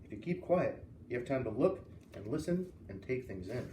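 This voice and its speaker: A man's voice: mid-range voice, sing-song, moderate pace, mellow. A man reading a children's novel aloud as a storyteller, expressively acting out the dialogue. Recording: roomy, distant mic with faint hiss.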